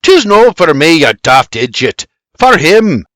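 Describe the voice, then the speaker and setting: A man's voice: fairly high pitch, sing-song, a little slow, fairly bright tone, no echo, studio quality, pitched above the performer's own voice. A man voicing an irritable, hard-drinking frontier doctor, barking and grumbling in a dramatized story. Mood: irritated.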